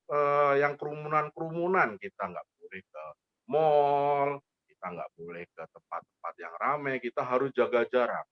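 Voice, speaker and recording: A man's voice: mid-range voice, no room sound, lo-fi sound. A man telling a story to young children in a playful, interactive way, repeating phrases and asking questions.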